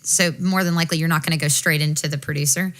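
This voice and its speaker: Low-voiced brisk American woman. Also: steady tone, fairly bright tone. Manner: brisk, frank, swears casually. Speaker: podcast co-host. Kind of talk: casual panel chat.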